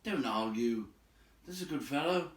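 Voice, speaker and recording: A man's voice: medium pitch, expressive, measured pace, pitched above the performer's own voice, natural voice. A man voicing a small, anxious hobbit who gives firm, urgent instructions in a storybook. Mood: urgent, bossy. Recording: roomy echo, distant from the mic.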